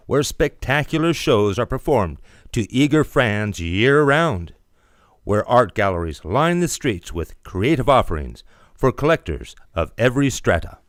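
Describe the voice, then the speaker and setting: Male announcer for promotional narration, lively delivery, close and dry. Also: lowish voice, no echo.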